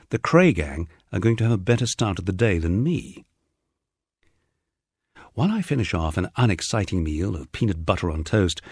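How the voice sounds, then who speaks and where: A man narrates a memoir in a husky, fairly low voice. His storytelling is wry and dryly humorous, with lively rises and falls in pitch. The recording is dry, with little room sound. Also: no echo. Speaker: audiobook narrator reading a memoir. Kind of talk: scripted first-person narration.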